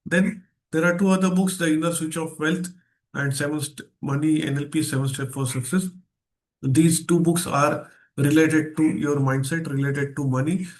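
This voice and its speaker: A man's voice: a little high, steady tone, moderate pace. A man with an Indian accent speaking as a guest trainer in an online seminar, stressing key phrases and putting questions to his listeners. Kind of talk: interactive online seminar talk. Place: online video session.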